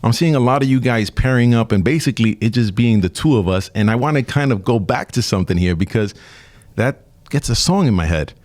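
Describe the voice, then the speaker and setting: A man's voice: mid-range voice, animated, brisk, a little mellow. A man from Brooklyn hosting a casual radio interview, teasing his guest and laughing often. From Brooklyn, New York.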